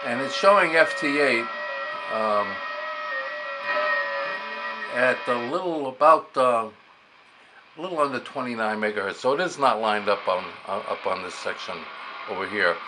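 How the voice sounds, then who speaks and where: A man casually demonstrating amateur radio gear, haltingly thinking aloud as he works the controls. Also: mid-range voice, expressive, music in the background. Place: beside operating radio equipment. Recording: noisy recording with clear background hiss.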